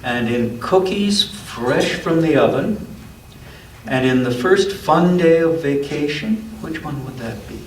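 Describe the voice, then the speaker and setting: A man's voice: a little high, expressive. A man reading a picture book aloud to children at a church story time, breaking off for asides and questions about the pictures. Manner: pauses to ask listeners questions about the pictures. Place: roomy church interior. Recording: echoey room, distant mic, background noise.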